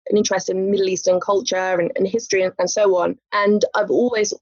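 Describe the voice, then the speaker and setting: A woman's voice: mid-range voice, flat delivery, fast talker, dry recording. A woman, a lawyer talking as a podcast guest in a chatty way, with light laughs and many "you know" fillers. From England. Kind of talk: casual interview conversation. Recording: muffled, dry podcast audio.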